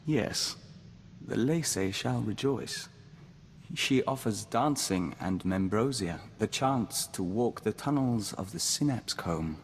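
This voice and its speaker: An actor voicing a calm, formal young man joined to an insect hive mind in a video game, speaking in a measured way as "we". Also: fairly low pitch, slightly rough, long reverb tail, heavily acted. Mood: reverent. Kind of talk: scripted video game voice acting.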